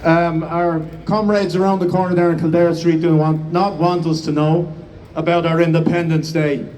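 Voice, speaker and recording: A man with an Irish accent hosts a public commemoration in a fairly high voice. He speaks in a declamatory way with occasional hesitations. He sounds distant from the microphone, with light noise behind him. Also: little pitch movement, normal speed, darkish tone. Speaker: master of ceremonies. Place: outdoors in front of a building.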